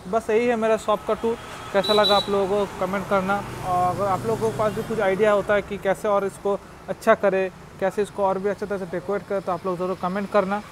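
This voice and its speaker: A man's voice: high voice, level tone, a little fast. A young man in his twenties chatting loosely as he gives a selfie tour of his small tea shop, filmed on a phone held at arm's length. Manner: chatty, loose and rambling, with frequent restarts. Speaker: small cafe owner and vlogger. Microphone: phone camera held at arm's length. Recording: noisy phone recording with street sounds.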